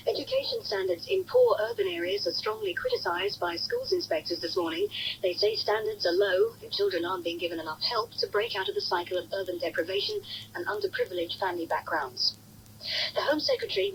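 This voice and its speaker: An Englishwoman reads the radio news from a script. Her intonation swings very widely at a middling pitch, and an old broadcast recording adds faint noise behind her. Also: a bit bright, low-quality recording. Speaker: news reader. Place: radio studio.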